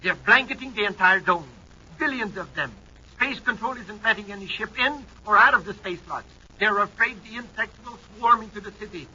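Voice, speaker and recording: A man's voice: high voice, expressive, normal speed, pushed and bright, husky, exaggerated voice. An actor playing an anxious male scientist giving urgent news in a 1950s radio drama. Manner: urgent, worried. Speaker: doctor and scientist. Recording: muffled old radio recording.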